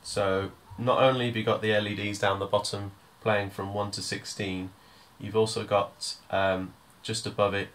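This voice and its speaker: Male tutor, lower register, informal step-by-step software walkthrough with a hesitant, thinking-as-he-goes delivery. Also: level tone, moderate pace, few pauses. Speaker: music tutor.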